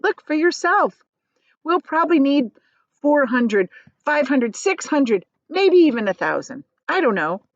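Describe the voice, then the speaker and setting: A determined young storybook boy, played by a woman reader, earnest and sure of himself. The voice is high and very lively in pitch, captured close and dry. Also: a little slow, no echo, highs cut off, exaggerated voice. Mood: calm, confident. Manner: earnest, confident, explanatory. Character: calm, determined young boy explaining his plan. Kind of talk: children's storybook character reading.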